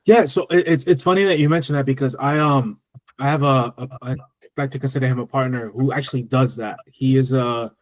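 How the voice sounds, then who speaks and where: A man's voice: medium pitch, fairly even intonation, brisk, no echo. A man giving tax advice in a webinar Q&A, talking loosely with many restarts. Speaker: tax strategist. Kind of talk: webinar Q&A answers. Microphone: video call.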